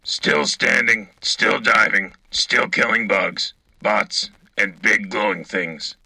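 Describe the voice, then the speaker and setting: A gruff, war-weary radio correspondent, played by a man in a wartime drama. The voice is low and raspy, with a hard, forceful edge. The delivery is terse, grim and dry. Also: steady tone, takes it slowly, no echo, heavily acted, low-quality recording. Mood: defiant, wry. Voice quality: gravelly, raspy. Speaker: radio co-host. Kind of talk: wartime radio news acting.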